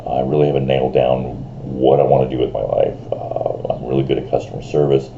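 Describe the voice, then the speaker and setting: A man in his fifties talks straight to his camera in a loose, rambling vlog, stumbling over fillers and restarting his sentences. His voice is extremely deep and gravelly, with a big, loud delivery. The recording is dull and lo-fi, with faint noise behind him. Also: fairly quick. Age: 50s. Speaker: vlogger. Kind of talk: rambling casual vlog monologue. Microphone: camera on a screen in front of him.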